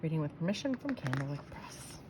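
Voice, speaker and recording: Expressive woman reading a children's picture book. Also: low-pitched, fairly quick, background noise. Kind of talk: expressive children's read-aloud of rhyming verse.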